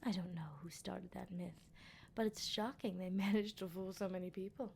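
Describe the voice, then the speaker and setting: A woman's voice: fairly low pitch, fairly even intonation, moderate pace, vocal fry, darkish tone, a little hiss or hum. A woman voicing a teasing, menacing vampire captor in an intimate, whispery ASMR roleplay, with a leisurely and playfully taunting delivery. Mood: teasing, lecturing.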